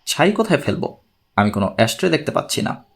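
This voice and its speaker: A man voicing a polite, self-assured male professor in an audiobook, measured and orderly in his speech. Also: on the high side, sing-song, creaky, heavily acted. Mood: composed, polite. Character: formal, self-assured male mathematics professor seeking a consultation.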